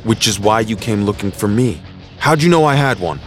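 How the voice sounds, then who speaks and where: A man's voice: lowish voice, expressive, moderate pace, natural voice. An actor playing a terse, commanding, cocky man in his prime in an audio drama. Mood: stern, commanding. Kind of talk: full-cast audio drama dialogue. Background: music.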